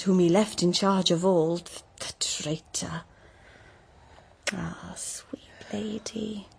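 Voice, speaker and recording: High-voiced innocent young novice girl. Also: warm tone, slightly rough, exaggerated voice. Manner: earnest archaic verse delivery. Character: innocent, chattering little novice maid in a nunnery. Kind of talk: dramatic verse audio drama. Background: music.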